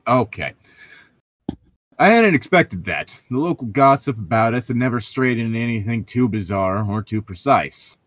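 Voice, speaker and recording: A man hosts a casual read-aloud among friends. His pitch is mid-range and very animated. He sounds close and dry on the mic, moving easily between joking chat and reading. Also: moderate pace, no echo. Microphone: close mic on an online voice call.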